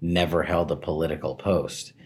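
A man's voice: a bass voice, steady tone, moderate pace. A man in his forties, a guest in an interview, telling his career story in a candid, self-deprecating way and laughing at how his plans turned out. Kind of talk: relaxed conversational storytelling.

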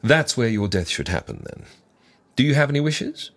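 An actor playing a prim, florid and droll fixer in ancient Egypt, in an audio drama. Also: male voice, medium pitch, very animated, normal speed, fairly bright tone. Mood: composed.

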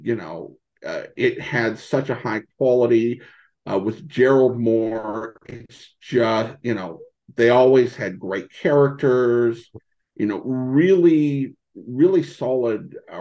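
A man in a casual interview as a podcast guest, talking haltingly and searching for his words. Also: mid-range voice, lively intonation, muffled recording. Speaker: podcast guest, old-time radio podcaster.

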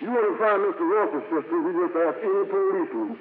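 A man's voice: high-pitched, fast talker, close to an everyday voice. A man acting a chipper, folksy helper to his boss in a 1950s radio drama, breezy and informal. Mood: eager, puzzled. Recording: tinny, very noisy old radio recording.

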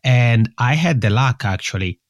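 Young Swiss Italian man, native Italian speaker, friendly podcast guest, medium pitch, dry close recording. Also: animated, normal speed, no echo. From Italian-speaking Switzerland. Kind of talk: conversational interview answers.

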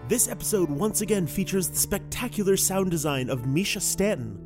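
A man, the executive producer, reading scripted podcast credits in a measured, formal way. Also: sits high, animated, over music.

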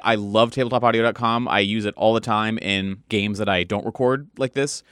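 A man with a smooth, clear voice chatting casually as a game master. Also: fairly low pitch, fairly even intonation, brisk, fairly bright tone, no room sound, studio quality. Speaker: game master of a podcast RPG. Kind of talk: casual chat.

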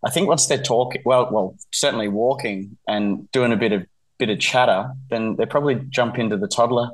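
A man who is a musician guesting on a podcast, talking casually and haltingly, often repeating words as he restarts. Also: mid-range voice, poor audio.

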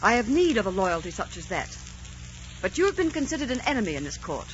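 An actress playing a proud, quick-tempered young Scottish queen in formal, commanding 1940s radio style. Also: medium pitch, big pitch swings, close to an everyday voice. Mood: regal. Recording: hissy, dull 1940s radio recording.

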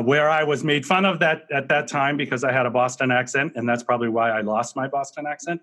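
A man in his fifties from New England tells his story as an interview guest. He speaks at an ordinary pitch, upbeat and loose, restarting his sentences as he goes. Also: a bit bright. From Massachusetts, New England. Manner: rambling, many fillers and restarts, upbeat. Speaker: guest, company CEO.

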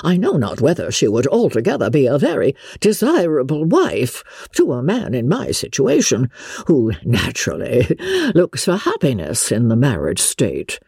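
Woman acting a pompous, husky gentleman suitor. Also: extremely low pitch, wildly swooping pitch, normal speed, dry recording, studio quality, exaggerated voice. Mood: pompously offended. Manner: pompous, wordy, formal. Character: pompous, self-important gentleman suitor in period novel. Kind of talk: dramatic audiobook reading of classic literature.

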